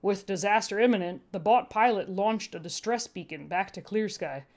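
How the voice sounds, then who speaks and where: Woman, podfic narrator, mid-range pitch, first-person storytelling, dry and wry. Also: moderate pace, a little mellow.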